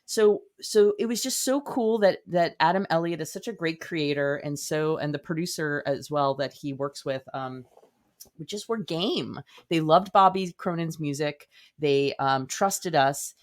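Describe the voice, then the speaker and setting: A woman's voice: low-pitched, measured pace. A woman speaking as an interview guest, a playwright talking conversationally in an effusive, emphatic way.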